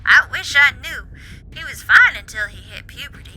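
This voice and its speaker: A woman voicing a brash, exasperated mother and doctor in a game, loud and commanding. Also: sits high, expressive, moderate pace, slight background noise, voice pushed up, full character voice. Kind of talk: amateur game character voice. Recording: music behind the voice.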